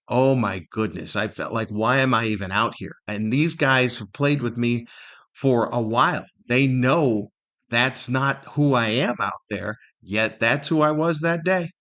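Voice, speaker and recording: A man's voice: mid-range voice, fairly bright tone. A middle-aged man hosting a casual solo podcast, telling stories in a rambling, wry and self-deprecating way. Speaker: podcast host.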